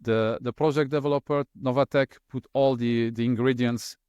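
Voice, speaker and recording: A middle-aged man whose first language is French, speaking as an interview guest in a relaxed, hesitant way with many fillers and restarts. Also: mid-range voice, moderate pace, clear, resonant tone, no echo, muffled recording. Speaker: interview guest, LNG specialist. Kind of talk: conversational interview.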